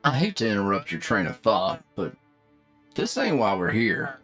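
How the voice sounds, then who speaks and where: A man's voice: fairly low pitch, sing-song, normal speed, fairly bright tone, faint music behind. A man voicing a wry, laid-back crewmate in a sci-fi audio roleplay, with a drawling, colloquial manner. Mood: skeptical. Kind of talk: anime-style audio roleplay acting.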